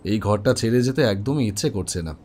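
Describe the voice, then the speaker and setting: A man plays an elderly homeowner from a horror cartoon, with a soft, dark-toned voice. He speaks in a reflective, gentle way, wistful and resigned. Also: fairly low pitch, lively intonation, a little fast, a little hiss or hum, close to an everyday voice. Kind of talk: Bengali horror cartoon dialogue.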